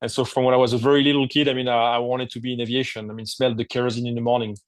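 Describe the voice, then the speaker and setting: A man's voice: mid-range voice, level tone, racing, no room sound. A man who grew up in Africa, a guest on a podcast, answering in a hesitant way with frequent fillers and restarts. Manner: hesitant, many fillers and restarts, searching for words. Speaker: guest, aviation executive. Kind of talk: podcast interview.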